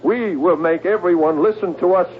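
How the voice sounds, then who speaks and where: An actor playing a desperate, paranoid psychiatric patient in a radio drama, halting and agitated, repeating himself and pleading. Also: male voice, high-pitched, close to an everyday voice. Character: desperate paranoid male psychiatric patient, convinced aliens threaten mankind. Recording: narrow tinny old radio broadcast, hiss.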